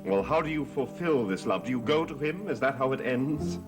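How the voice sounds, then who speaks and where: A man's voice: medium pitch, lively intonation, normal speed, over music, natural voice. Man playing brooding cursed master, swooping intensity. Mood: urgent pleading. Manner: earnest, dramatic, pleading. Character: haunted, brooding, cursed master of a gothic mansion. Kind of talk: gothic soap opera acting.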